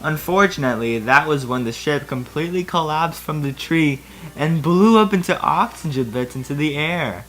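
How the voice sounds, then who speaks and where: Bright-voiced man in theatrical comic commentary. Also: a little high, lively intonation, moderate pace, rough recording. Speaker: YouTube host reading fan fiction. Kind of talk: comedic reading with casual commentary.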